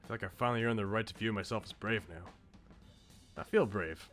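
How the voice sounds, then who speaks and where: A man's voice: lowish voice, fairly quick, fairly bright tone, soft background music, close to an everyday voice. A man voicing a brash, insecure but loyal eighteen-year-old slacker in a visual novel, with blunt, casual slang. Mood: reflective. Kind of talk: visual novel character read aloud.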